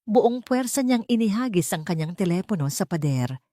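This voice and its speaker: Expressive woman narrating a drama. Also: medium pitch, fairly quick, dry recording, clean studio sound. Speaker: storyteller. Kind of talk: dramatic scripted audiobook narration.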